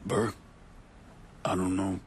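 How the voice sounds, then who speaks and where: An actor playing a hard, resolute young crime boss, terse and firm, in a video game cutscene. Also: male voice, low-pitched, level tone, slow, slight background noise. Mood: subdued. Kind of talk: dramatic game cutscene acting. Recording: loud music behind the voice.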